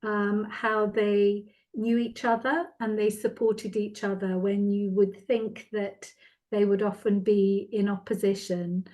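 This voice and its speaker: A woman's voice: medium pitch, level tone, a little slow, darkish tone, poor audio. A woman moderating an online question session, speaking politely and formally with hesitant phrasing as she hosts and reads out comments. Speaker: host and moderator of an online art talk. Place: online video event.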